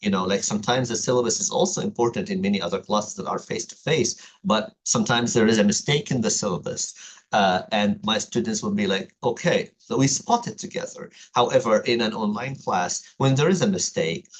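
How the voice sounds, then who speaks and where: Hesitant low-voiced Egyptian man teaching. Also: slightly rough, low-quality recording. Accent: Egyptian Arabic. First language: Arabic. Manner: hesitant, many fillers, careful explanatory delivery. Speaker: university writing instructor. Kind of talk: recorded online class introduction. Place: home, late at night.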